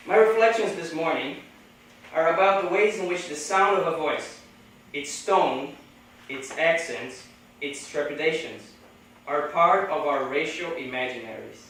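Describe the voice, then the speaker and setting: Male academic speaker, Brazilian Portuguese accent, measured lecture, slightly high voice, distant mic in a very reverberant hall. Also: a bit bright, a little hiss or hum. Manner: measured, deliberate delivery with pauses. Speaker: conference speaker. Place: large echoey lecture hall. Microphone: podium microphone.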